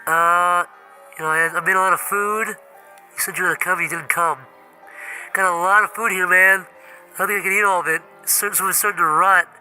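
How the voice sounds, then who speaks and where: A man's voice: high voice, steady tone, sharp tone, soft background music, poor audio. A man voicing a needy, passive-aggressive Jesus who stammers his way through comedy voicemails. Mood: awkward, hurt. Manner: halting, stammering, whiny. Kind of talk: improvised comedy voicemail skit.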